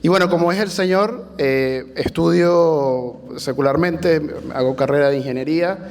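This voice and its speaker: A man's voice: fairly high pitch, moderate pace, a little mellow. A Venezuelan man in his thirties with a Venezuelan accent, a church planter telling his story conversationally on a panel. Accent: Venezuelan Spanish. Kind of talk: panel testimony. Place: large hall, panel on stage. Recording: reverberant hall.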